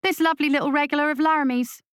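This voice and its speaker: A woman's voice: sits high, steady tone, rapid-fire, fairly bright tone, dry recording, clean studio sound, pitched above the performer's own voice. A woman voicing a cruel witch gang leader with a taunting, sneering, thundering delivery in an audiobook. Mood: mockingly sweet, menacing.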